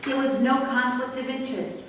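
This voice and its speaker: A woman's voice: high-pitched, steady tone, a little fast, slight background noise, natural voice. Woman acting a brash defiant horse trainer lady, stage reading, super echoey room, kinda far from mic. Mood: defiant, indignant.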